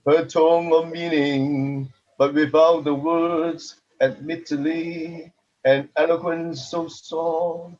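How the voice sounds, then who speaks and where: Slow, higher-pitched man reading poetry. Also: darkish tone. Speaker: participant reading poetry aloud. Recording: dull, lo-fi sound.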